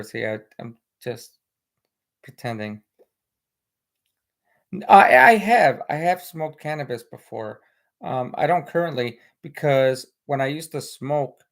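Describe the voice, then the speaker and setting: A man with an American accent, whose first language is Spanish, chatting casually on a live stream in a rambling way, with many fillers, and reading viewer comments. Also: mid-range voice. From United States.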